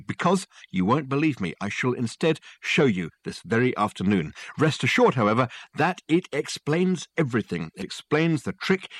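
A man voicing a flamboyant, verbose middle-aged male detective who speaks in grand, excitable flourishes. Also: medium pitch, no echo, pitched above the performer's own voice, natural voice.

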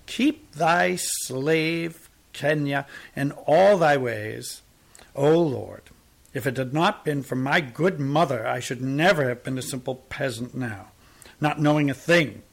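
A man voicing a pious, self-pitying male tramp with a soft, drawling, sickly sweet voice. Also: medium pitch, slow, gravelly, pitched above the performer's own voice.

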